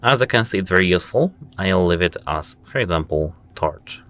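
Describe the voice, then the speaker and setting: A man with a very low voice hosts a casual how-to video. He walks through each setting step by step and keeps pointing things out with "as you can see". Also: animated, fairly quick, a bit bright. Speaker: tech tutorial host. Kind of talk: casual phone how-to walkthrough.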